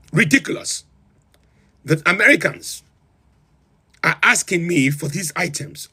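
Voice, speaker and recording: A man's voice: on the high side, bright, forceful tone, breathy edge, full character voice. A man doing a pompous self-styled president. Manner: incredulous, theatrical, lists items with rising emphasis. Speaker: livestream host. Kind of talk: comedic in-character livestream rant.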